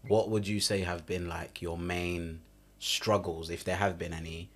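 A man in his thirties hosts a studio podcast, his voice low and deep through a boom mic. He asks questions in a friendly, teasing way, full of energy. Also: level tone, measured pace. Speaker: podcast host. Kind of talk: casual podcast interview. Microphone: studio mic on boom arm.

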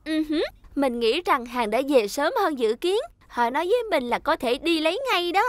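Very high, bubbly little cartoon character. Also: female voice, normal speed, no echo. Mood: excited. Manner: bubbly, expressive, childlike. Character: cheerful, very high-voiced young cartoon vehicle character. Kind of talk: dubbed children's cartoon acting. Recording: music behind the voice.

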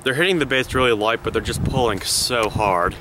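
A man's voice: mid-range voice, a little fast. A young man speaking briefly and matter-of-factly while fishing outdoors by a pond. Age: young adult. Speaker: fishing partner. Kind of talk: casual outdoor chat. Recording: outdoor noise, wind.

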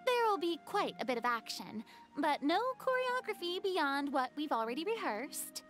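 An actress playing an enthusiastic film director who speaks energetically and commandingly. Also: female voice, sits high, very animated, moderate pace, fairly bright tone, soft background music. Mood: upbeat. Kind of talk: video game voice acting.

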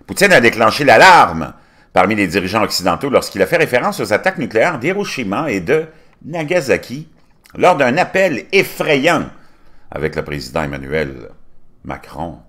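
A man in his fifties with a Québécois accent, reading news articles aloud and commenting on them, bursting into loud emphasis and then dropping to low muttered asides. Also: very animated, moderate pace. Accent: Québécois French. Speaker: commentary channel host. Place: home studio with virtual backdrop.

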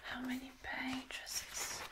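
A woman whispering softly in an ASMR roleplay as a flirty, attentive boss, gentle and reassuring. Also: high voice, completely flat delivery, takes it slowly. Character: flirty, attentive female boss soothing an employee. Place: small dim room indoors.

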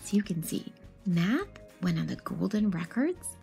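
A woman in her thirties giving an expressive picture-book read-aloud, animatedly addressing children with questions and asides. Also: on the low side, a little slow, gravelly, soft background music.

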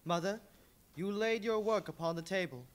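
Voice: mid-range voice, normal speed, lightly acted. A performer playing an earnest young son comforting his parents.

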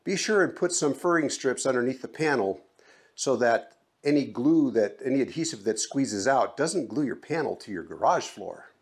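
A man's voice: mid-range voice, animated, normal speed, husky. Plain-spoken middle-aged man explaining a DIY job. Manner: folksy, plain-spoken instructions. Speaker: DIY vlogger. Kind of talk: casual how-to narration. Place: open garage, then outdoors on a roof.

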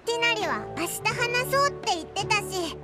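A woman's voice: very high-pitched, very animated, normal speed. A woman voicing a tiny, earnest, childlike fairy companion in a Japanese anime game, with blunt, tomboyish speech. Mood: worried. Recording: music behind the voice.

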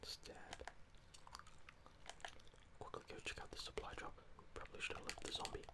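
A young man whispering casual, sweary ASMR gaming commentary to his viewers, close to the microphone. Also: normal speed. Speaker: gaming streamer.

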